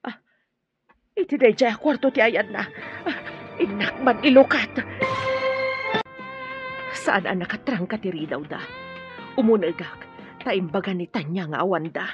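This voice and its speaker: A woman's voice: medium pitch, animated, measured pace, long pauses, lo-fi sound, music in the background, natural voice. A woman with a Filipino accent playing an anxious, protective older mother in a radio drama, pleading and emotional. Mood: frantic, searching. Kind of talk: Ilocano radio drama acting.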